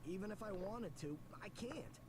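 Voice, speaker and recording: An eager young mechanic hero. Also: male voice, high voice, animated, normal speed, background noise. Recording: game cutscene with clear ambience.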